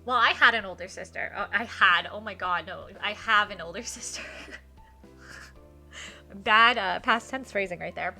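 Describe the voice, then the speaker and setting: A woman's voice: medium pitch, moderate pace, vocal fry, bright, forceful tone. A woman streamer reading a visual novel aloud expressively, with casual asides to her viewers and game music behind her.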